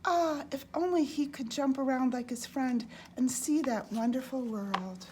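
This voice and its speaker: A woman reading a children's storybook aloud in an expressive way, as a storyteller. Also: high-pitched, unhurried. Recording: clearly noisy background.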